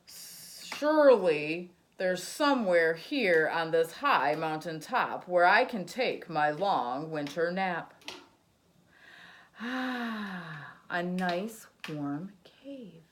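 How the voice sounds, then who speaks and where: Sleepy grumpy male bear from a picture book, done by a woman reader; slow, loud, drawn-out lines. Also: fairly low pitch, lively intonation, slight background noise. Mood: weary, grumpy.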